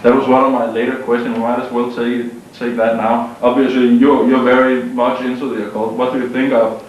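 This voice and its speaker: A mid-pitched man from Denmark puts questions to a musician, curious and agreeable, nodding along with short replies. He is heard from a distance in a very echoey room with faint background hiss. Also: level tone, a little fast, muffled recording. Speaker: interviewer. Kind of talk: casual interview.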